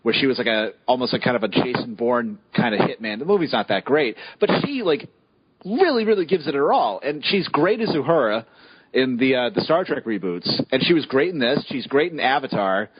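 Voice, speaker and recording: A man co-hosting a podcast, chatting casually and excitably with frequent stammered restarts. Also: mid-range voice, a little fast, husky, no echo, dull audio. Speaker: podcast co-host. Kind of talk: casual chat.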